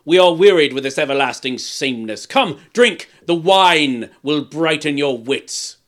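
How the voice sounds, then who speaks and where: A man's voice: expressive, measured pace, a bit bright. A man voicing a tyrannical, mocking king in a storybook reading, roaring out impatient commands. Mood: commanding, mock merry. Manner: roaring, booming commands and forced laughter. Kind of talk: theatrical storybook character acting.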